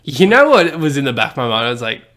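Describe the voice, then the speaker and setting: Animated man, rambling chat. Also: mid-range voice, quick pace. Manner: rambling, animated, swears casually. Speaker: podcast co-host. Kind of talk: casual chat.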